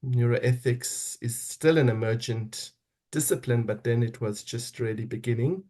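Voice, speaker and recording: A man's voice: mid-range voice, measured pace, dark tone. A middle-aged man from South Africa with a South African accent, a podcast guest speaking reflectively and often restarting as he searches for words. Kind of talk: reflective interview talk.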